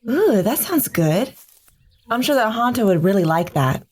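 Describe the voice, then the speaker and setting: An actress playing a gentle young village wife who giggles and speaks earnestly, in an audio drama. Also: female voice, mid-range voice, very animated, normal speed, husky. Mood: calm.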